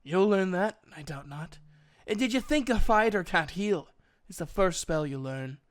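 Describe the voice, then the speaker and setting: Guy doing a proud elf wizard teacher from a fantasy audiobook, highish voice, gruff but kind, exclaims a lot. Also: lively intonation, normal speed. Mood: exasperated. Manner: emphatic, old-fashioned speech, exclaiming. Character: proud male elf mage, gruff but kindly mentor.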